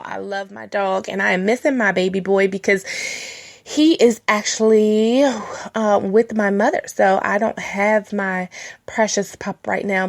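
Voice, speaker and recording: A woman hosting her own internet radio show, talking casually with a congested, nasal voice from a cold and rambling with many ums and restarts. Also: mid-range voice. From Washington, D.C. area. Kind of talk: casual solo radio talk.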